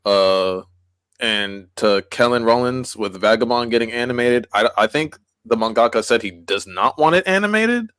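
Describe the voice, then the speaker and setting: A man's voice: mid-range voice, animated, normal speed, a bit bright, clear, resonant tone, dry recording, clean studio sound. Enthusiastic man rambling about stories. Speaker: podcast co-host. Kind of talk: casual chat.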